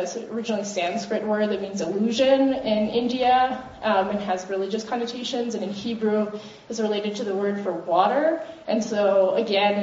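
A woman on a panel speaks in a thoughtful, measured way, pausing often to gather her words. She sits well back from the microphone, so her voice sounds distant and muffled. A faint hiss hangs in the roomy space. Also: mid-range voice, a little mellow. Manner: thoughtful, measured, frequent ums. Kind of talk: reflective panel talk.

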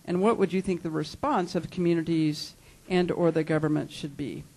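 Mellow-voiced moderator reading questions. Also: medium pitch, steady tone, normal speed.

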